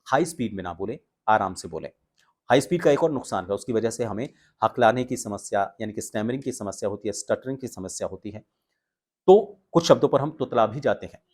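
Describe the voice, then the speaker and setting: A man giving an instructional talk to viewers as a voice coach, explaining with pauses and stress on key words. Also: fairly low pitch, expressive, a little mellow. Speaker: voice coach and video presenter.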